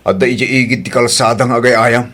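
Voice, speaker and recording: A man's voice: mid-range voice, steady tone, fairly quick, fairly bright tone, lightly acted. A man acting a guilty, unfaithful husband in an Ilocano radio drama, hesitant and stammering, by turns pleading and exasperated.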